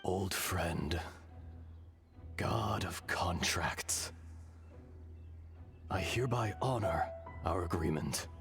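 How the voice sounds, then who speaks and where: An actor playing an ancient, weary stone dragon spirit in a game, speaking slowly and gravely in formal speech. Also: male voice, low-pitched, heavily acted. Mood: solemn. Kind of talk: game voice acting. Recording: game audio with music behind.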